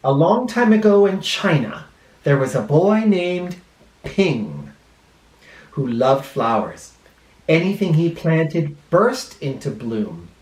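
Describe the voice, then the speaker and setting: A male teacher reading a picture book aloud to children expressively, pausing dramatically and explaining words in simple terms. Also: a little high, sing-song, a little mellow. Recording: roomy, echoing room sound.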